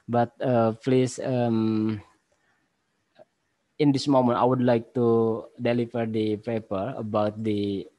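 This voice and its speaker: A man in his forties with an Indonesian accent, giving a conference paper over a video call in halting English full of pauses and fillers. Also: mid-range voice, flat delivery, warm tone, no room sound. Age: 40s. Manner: halting, many fillers and restarts. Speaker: university lecturer presenting a paper. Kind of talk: conference presentation. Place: home office with bookshelves. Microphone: laptop webcam on video call.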